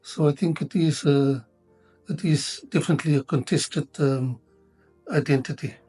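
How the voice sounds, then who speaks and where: This is a man, an Afrikaans speaker, answering an interview question. His voice is breathy and a little higher than average, and he often hesitates, restarts words and fills gaps with ums. Soft music plays faintly under his voice. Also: fairly even intonation, moderate pace.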